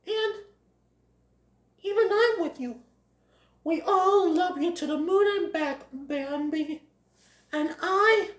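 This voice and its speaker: A woman's voice: extremely high pitch, voice pushed up. A woman voicing a tender, devoted young female fawn in a storybook tale, earnest and heartfelt. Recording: echoey room.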